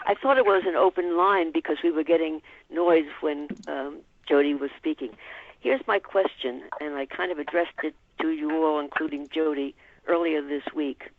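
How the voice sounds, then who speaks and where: Low-voiced woman on a tinny phone line. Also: fairly even intonation, normal speed, dry recording. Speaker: member asking a question. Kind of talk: unscripted question on a conference call. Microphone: telephone.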